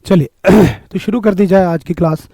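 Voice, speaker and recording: A hoarse man leads a live exam-prep class, clearing his throat often. His voice sits fairly high for a man but sounds mellow and dark. The sound is dry and close, with no room echo. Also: normal speed. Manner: greets viewers by name, upbeat coaching. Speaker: online exam-prep teacher. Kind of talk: live-streamed physics class.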